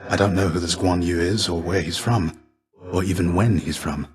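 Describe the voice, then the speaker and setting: An actor playing the ghost of a fallen Greek warrior in a video game, a gentle, fatherly mentor with measured, formal, old-fashioned phrasing. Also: low-pitched, steady tone, close to an everyday voice. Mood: reflective. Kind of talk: video game character dialogue.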